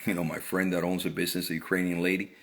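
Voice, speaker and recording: Deep-voiced middle-aged man giving opinions. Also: level tone, very fast. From Cuba. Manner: earnest, repeats words and restarts phrases. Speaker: vlogger. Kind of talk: casual opinion monologue.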